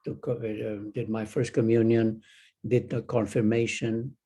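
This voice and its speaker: Older Chilean-accented man, Zen teacher guest; unhurried, rambling answers full of restarts. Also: mid-range voice, level tone, dark tone, husky. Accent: Chilean Spanish. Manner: rambling, halting, many restarts and fillers. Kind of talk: casual interview conversation.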